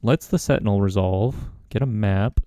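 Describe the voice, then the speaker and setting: A man's voice: fairly low pitch, moderate pace, no echo, studio quality. A man giving casual commentary while playing a card game, thinking aloud about his choices. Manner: relaxed, musing, talks himself through plays. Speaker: gaming content creator.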